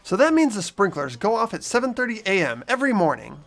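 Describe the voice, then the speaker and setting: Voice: mid-range voice, very animated, fast talker, faint music behind, voice pushed up. A performer voicing a wary, bewildered teenage schoolboy who questions things incredulously in a fan-voiced game. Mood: exasperated. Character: bewildered, wary teenage schoolboy protagonist, sarcastic inner narrator.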